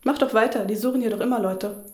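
Radio crime play: gossipy woman care-home colleague played by an actress, casual and blunt, higher-pitched, recorded in a roomy space. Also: fast talker, natural voice. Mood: chatty, brisk.

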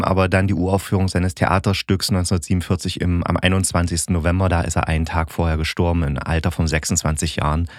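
A man with a low voice talks as a podcast co-host. The sound is close and dry. His delivery is colloquial and informed, repeating words to add emphasis. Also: no room sound. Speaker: podcast co-host discussing radio plays. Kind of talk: casual informed discussion.

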